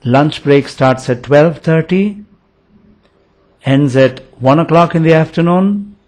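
Slow, measured man narrating a demo. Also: a little high, darkish tone. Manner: slow, measured step-by-step explaining. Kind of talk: voice-over product demonstration.

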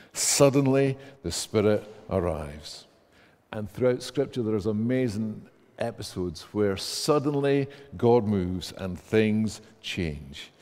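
A man's voice: lowish voice, a little mellow. A man in his thirties with a Scottish accent, preaching a sermon in a large church and reading scripture aloud with rising emphasis. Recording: church hall reverb.

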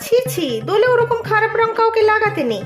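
Gentle cartoon mother. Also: extremely high pitch, fairly quick, over music. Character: gentle young mother, the daughter-in-law. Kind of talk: Bengali cartoon voice acting.

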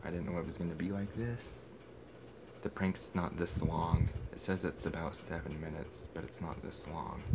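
Low-voiced young man, noisy phone video. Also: fairly even intonation, normal speed. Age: young adult. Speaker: prank caller and video maker. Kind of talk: casual phone prank talk with muttered asides. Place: small bedroom, indoors. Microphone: phone at arm's length.

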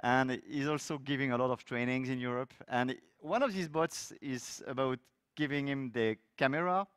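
A middle-aged man from France with a French accent, giving a technical talk on a conference stage with loose, lively phrasing and many fillers. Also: mid-range voice, moderate pace, clear, resonant tone, no echo, studio quality. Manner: loose, lively delivery with frequent fillers and restarts. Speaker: conference speaker. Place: large conference hall stage.

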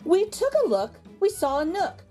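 High, animated woman reading to kids. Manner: expressive, exaggerated intonation with drawn-out words. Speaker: children's book reader. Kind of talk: animated picture-book read-aloud for young children. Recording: background music under the voice. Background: music.

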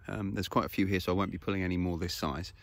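A man in his thirties talks easily to his phone camera while standing outdoors on a grassy hill. His voice sits low and has a gravelly rasp. He explains step by step in a relaxed way, with plenty of ums and uhs. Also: quick pace. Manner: casual, explanatory, easygoing with fillers. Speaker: foraging channel host. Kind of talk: outdoor how-to vlog. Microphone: phone camera held close.

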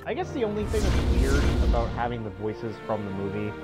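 A young man chatting casually as he streams a game, rambling and halting in an amused way. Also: mid-range voice, big pitch swings. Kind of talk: casual live gameplay chat. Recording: loud game music and sounds behind. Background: video game music and effects.

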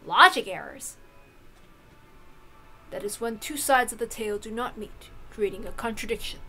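A woman playing a deferential old male butler in a visual novel, speaking in a grave, formal, measured way. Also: fairly high pitch, pushed and bright, soft background music. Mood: grave, explanatory. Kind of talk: visual novel character reading.

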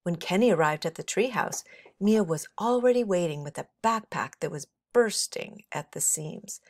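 A woman's voice: on the low side, animated, normal speed, no room sound, clean studio sound. A middle-aged woman reading a children's story aloud expressively, as a teacher would for young listeners.

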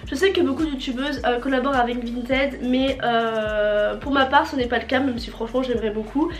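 Young woman, nasal and congested, fairly high voice, roomy bedroom sound, faint music behind. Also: fairly even intonation, brisk. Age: young adult. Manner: chatty, repeats words for emphasis. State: congested from a cold. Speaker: vlogger. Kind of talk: casual fashion haul vlog. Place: bedroom with a closet, indoors. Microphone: fixed camera at table distance.

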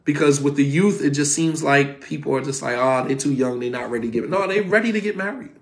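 A man in his forties gives an online class from his home, speaking straight into a phone camera at his desk. His voice sits fairly high for a man. He talks in a casual, animated way and drives points home by repeating them. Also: fast. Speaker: Islamic teacher. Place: home room, indoors.

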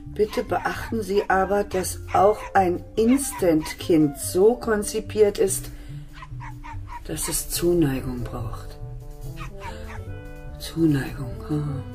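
An actress playing an eccentric, warm-hearted, scatterbrained mother in a children's radio play, exclamatory and doting. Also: fairly low pitch, expressive, slow pace, pauses often, soft background music. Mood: puzzled.

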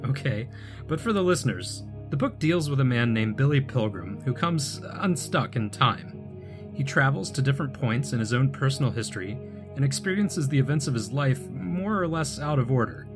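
An actor playing an earnest wanderer unstuck from time, explaining himself in a measured way in an audio drama. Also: male voice, medium pitch, animated, music in the background, lightly acted. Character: earnest male time-unmoored wanderer recounting his strange life. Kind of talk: audio drama acting, calm storytelling.

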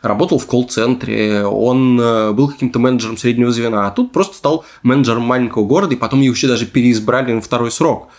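A man's voice: mid-range voice, fast, few pauses, darkish tone. A man hosting a podcast in a casual storytelling style, with wry, hedging asides. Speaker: podcast host.

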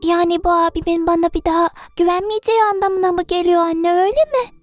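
A woman's voice: very high-pitched, steady tone, quick pace, dry recording, studio quality, soft background music. A woman voicing a sweet, worried little girl, childlike and pleading, in a children's cartoon dub. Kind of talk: dubbed children's cartoon acting.

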